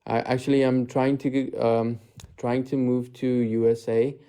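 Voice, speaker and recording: Halting young man from Afghanistan. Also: mid-range voice, little pitch movement, mellow, clean tone. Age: 20s. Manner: hesitant, many fillers and restarts. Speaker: livestream guest. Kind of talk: casual chat. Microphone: audio only, camera off.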